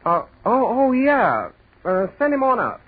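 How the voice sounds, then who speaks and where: Easygoing college pal in old radio drama, chummy, real lively pitch, tinny sound. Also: male voice, a little slow, exaggerated voice. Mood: eager, persuasive. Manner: chummy, casual, persuasive. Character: easygoing, eager young male college fraternity brother. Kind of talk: 1940s radio drama acting. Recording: tinny, band-limited 1940s radio recording.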